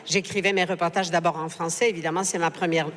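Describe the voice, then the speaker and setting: A woman's voice: lowish voice, steady tone, quick pace, fairly bright tone. Panel guest, woman journalist, lots of euhs and restarts, non-native french speaker, live talk in a hall with long reverb. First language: not French. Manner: hesitant, frequent fillers and restarts. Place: book fair stage.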